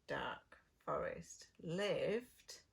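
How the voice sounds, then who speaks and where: A woman reading a storybook to young children as a preschool storyteller, with dramatic, drawn-out phrasing and hushed moments. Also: deep voice, lively intonation, gravelly, breathy edge.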